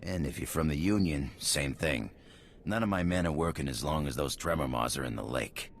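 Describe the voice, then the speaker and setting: An actor playing a gruff, fed-up crew foreman in a video game, talking bluntly with weary sarcasm. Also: rumbling low, moderate pace, a little hiss or hum. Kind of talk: video game character acting.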